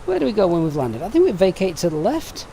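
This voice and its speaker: A man with a Sheffield accent talks through a flight plan step by step, then chats easily with viewers. His voice sits a little higher than most men's. Faint noise hums under the recording. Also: sing-song, fast talker, mellow. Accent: Sheffield English. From Sheffield, England. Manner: methodical, chatty, reads out viewer comments. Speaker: flight sim streamer. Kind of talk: live-stream briefing and chat.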